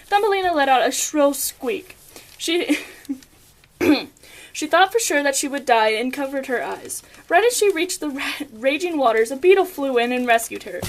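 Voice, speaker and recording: A woman's voice: high-pitched, animated, normal speed. A young woman reading scripted storybook narration, occasionally stumbling over her words. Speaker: puppet show narrator.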